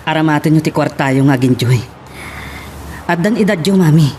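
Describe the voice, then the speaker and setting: A woman's voice: low-pitched, normal speed, darkish tone, slight background noise, close to an everyday voice. An actress with an Ilocano accent playing an exasperated daughter pleading with her mother in a radio drama. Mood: pleading, firm.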